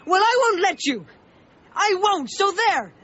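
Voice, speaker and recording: A man's voice: very high voice, sing-song, unhurried, pushed and bright, highs cut off, exaggerated voice. An actor playing an anxious, loyal boy who narrates his adventure in a radio drama. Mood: pleading, angry. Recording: band-limited radio broadcast with music underneath. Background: dramatic music.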